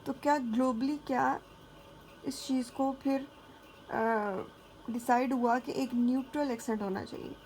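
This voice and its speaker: A woman teacher giving a lecture, talking casually and checking in with her students, then reading English passages slowly. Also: high-pitched, fairly even intonation, darkish tone, slight background noise, low-quality recording. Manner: frequent checking fillers. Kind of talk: classroom lecture mixing Hindi and English.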